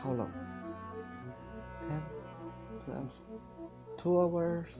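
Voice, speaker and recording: Woman livestreamer, casual gameplay commentary, muttering, unhurried, dark low voice. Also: muffled recording, over music. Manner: muttering, thinking aloud. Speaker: game livestreamer.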